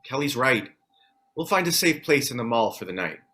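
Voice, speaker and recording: Man playing a bright-voiced wary survivor. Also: medium pitch, big pitch swings, normal speed. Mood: practical, cautious. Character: wary, practical male survivor. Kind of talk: scripted drama table read.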